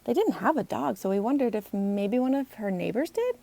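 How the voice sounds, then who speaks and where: Animated woman reading a children's story. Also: a little high, brisk, vocal fry. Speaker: author reading own book aloud. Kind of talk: expressive children's storybook narration.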